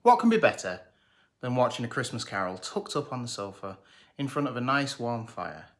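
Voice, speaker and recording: A man's voice: medium pitch, very animated, moderate pace, fairly bright tone. A man, presenting a scripted, jokey film review. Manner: jokey, sarcastic, enthusiastic. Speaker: movie reviewer.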